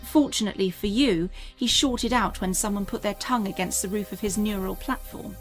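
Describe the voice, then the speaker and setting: A woman playing an earnest, secretive alien mother, speaking gravely and deliberately in an audio drama. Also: medium pitch, soft background music, close to an everyday voice. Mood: urgent warning.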